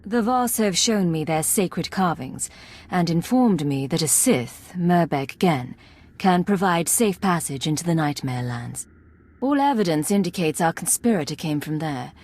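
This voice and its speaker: An actress playing a composed, resolute imperial agent in a video game, husky and measured in delivery. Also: female voice, lowish voice, expressive, darkish tone. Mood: businesslike. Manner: measured, formal, controlled.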